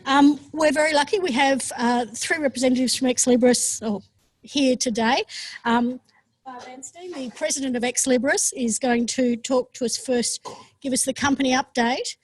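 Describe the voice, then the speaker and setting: A woman chairing a conference session, introducing the speakers in a halting way with frequent ums. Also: sits high, steady tone, dry recording, low-quality recording. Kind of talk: event introduction.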